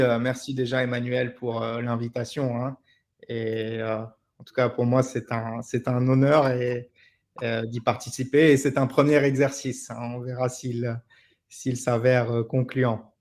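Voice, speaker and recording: A man's voice: medium pitch, measured pace. A man in his thirties from Senegal, answering interview questions as a company director over a video call, hesitant with fillers. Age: 30s. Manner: hesitant, flat delivery with fillers.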